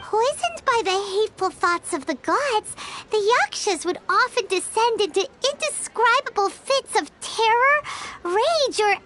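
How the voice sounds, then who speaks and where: A woman's voice: expressive, unhurried, slight background noise, heavily acted. A woman voicing a tiny, curious fairy companion in a video game, who talks about herself in the third person. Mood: thoughtful. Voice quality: high, bright and childlike. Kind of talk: game dialogue. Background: game music.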